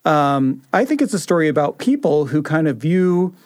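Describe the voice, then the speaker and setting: A man with a slightly higher-than-average voice talks casually on a radio panel. He is earnest and reflective, feeling his way through sentences, and the recording is dry with little room sound. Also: big pitch swings, moderate pace, a little mellow, no echo. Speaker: radio show producer, panelist. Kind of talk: casual reflective round-table chat.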